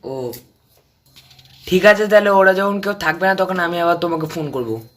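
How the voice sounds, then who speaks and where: A clingy grown son played by a man with a bright, forward voice. He speaks in short, casual replies, as in a domestic phone-call drama. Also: sits high, moderate pace. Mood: clingy, insistent.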